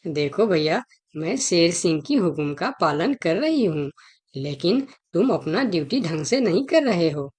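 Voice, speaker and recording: A woman plays a clever female fox in a children's cartoon. Her voice sits a little low but swings widely in pitch as she insists, persuades and pleads. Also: sing-song, unhurried, darkish tone, breathy edge, heavily acted. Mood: insistent. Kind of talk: Hindi children's cartoon dialogue.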